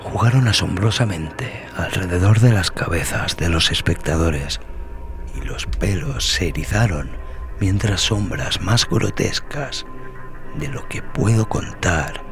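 Very deep-voiced man narrating horror over music. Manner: solemn, deliberate, with dramatic pauses. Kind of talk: dramatic scripted narration of a horror tale. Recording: voice over prominent background music.

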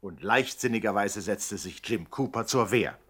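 An actor as a formal chronicler of a crime saga, narrating a 1960s radio play with deadpan, mock-serious precision. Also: male voice, on the low side, moderate pace, husky. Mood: mock-solemn.

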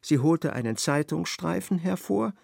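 A man's voice: a little high, expressive, normal speed, creaky, a little mellow, no room sound, studio quality, exaggerated voice. A man narrating a literary radio drama. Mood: measured.

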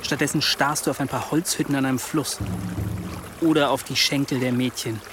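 A man's voice: mid-range voice, steady tone, brisk, close to an everyday voice. Radio drama young monk, male actor: impatient, dry, middle register, music underneath. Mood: dry, accusing. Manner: exasperated, dry.

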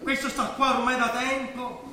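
An actor playing a comic, wheedling good-luck hustler with a southern Italian accent, colloquial and ingratiating, on stage. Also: male voice, extremely high pitch, level tone, moderate pace, sharp tone, full character voice. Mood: indignant. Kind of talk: theatrical stage dialogue. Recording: distant, echoey stage recording, faint noise.

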